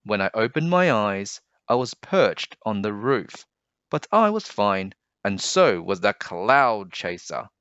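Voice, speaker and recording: A man reading aloud to children as a storyteller, with deliberate, expressive phrasing. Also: lowish voice, smooth. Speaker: storybook reader, host. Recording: dull, roomy home recording.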